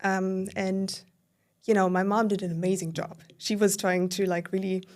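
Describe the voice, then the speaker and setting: A woman in her thirties from southern Germany with a German accent, a podcast guest recounting her life in a warm, filler-heavy way. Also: medium pitch, flat delivery, moderate pace. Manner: warm, storytelling with many fillers. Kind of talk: casual interview conversation.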